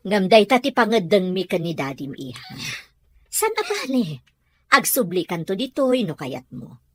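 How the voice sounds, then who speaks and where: A woman's voice: medium pitch, very animated, moderate pace, no echo. A woman playing a concerned mother in an audio drama, coaxing and pleading with an Ilocano Filipino accent. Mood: persuading.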